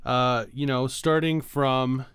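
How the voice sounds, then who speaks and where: A man talks as a podcast co-host in an ordinary mid-pitched voice, explaining patiently and informally, one step at a time. Faint plucked guitar music plays behind him. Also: fairly even intonation, slow pace, a bit bright.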